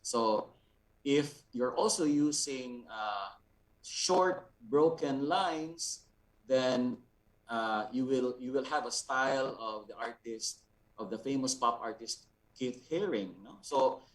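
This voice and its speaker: A man with a Filipino accent explaining a lesson as an art instructor, deliberately, repeating words and checking with short tags. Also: medium pitch. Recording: distant, off-mic sound.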